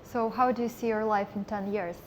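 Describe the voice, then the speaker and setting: A woman's voice: medium pitch, steady tone, brisk, poor audio. A woman hosting an interview, asking questions and offering encouraging murmurs. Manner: encouraging, frequent back-channel murmurs. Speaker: interviewer, host. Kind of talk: casual interview.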